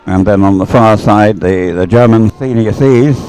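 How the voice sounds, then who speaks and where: A man's voice: fairly low pitch, normal speed, a little mellow, clean tone, faint music behind, low-quality recording. A man giving live race commentary in a rambling, hesitant way, as if thinking aloud.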